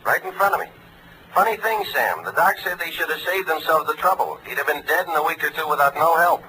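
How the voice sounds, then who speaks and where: An actor playing a chummy, matter-of-fact morgue clerk in a 1940s radio drama, clipped and folksy, on a slightly noisy old recording. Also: male voice, a little high, animated, quick pace, bright, forceful tone. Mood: breezy.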